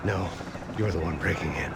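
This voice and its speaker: An actor playing a terse, world-weary middle-aged American action hero with a sardonic edge in a video game. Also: male voice, sits low, moderate pace, creaky. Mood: tense, commanding. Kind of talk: cinematic video game acting. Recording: action game soundtrack, loud music and noise.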